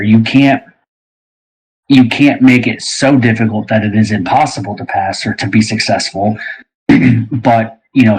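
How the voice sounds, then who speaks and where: Husky low-voiced man in reflective discussion. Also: poor audio. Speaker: game creator on a panel.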